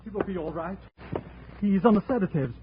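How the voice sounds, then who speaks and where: Male actor as cornered impostor, high pitch, stiff formal wording, anxious, 1950s radio thriller, noisy old recording. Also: a little fast, dull audio, lightly acted. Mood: nervous. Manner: stiff, formal foreign phrasing. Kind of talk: 1950s radio drama acting.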